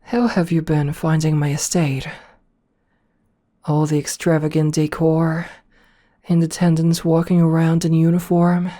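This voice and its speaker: A woman playing a rich, possessive, seductive female CEO in an ASMR roleplay, softly formal and coaxing, with a politely commanding manner. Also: deep voice, flat delivery. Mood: politely attentive. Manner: slow, softly formal, coaxing with pet names.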